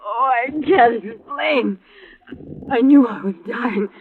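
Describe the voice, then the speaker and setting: High-pitched, hysterical, terrified woman. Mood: weak, shaken. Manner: halting, breathy sobbing, overwrought. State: crying, frightened. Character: terrified married woman, hysterical survivor of an attack. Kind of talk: 1940s-50s radio drama acting, melodramatic. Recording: muffled, band-limited old radio recording.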